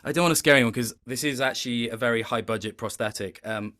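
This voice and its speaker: A man's voice: medium pitch, bright, forceful tone. A man chatting as an interview guest about his work, reflective, often restarting and correcting himself mid-sentence. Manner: reflective, self-correcting, halting phrasing. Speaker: interview guest, video game voice actor. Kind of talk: casual interview chat.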